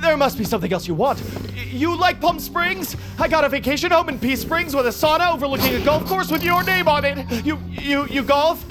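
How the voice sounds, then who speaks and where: An actor playing a cowardly, vain movie star who stammers, wheedles and brags in an audio drama. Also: male voice, very high voice, fairly quick, hardly any pauses, music in the background. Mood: wheedling, indignant. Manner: panicked stammering, wheedling and boastful.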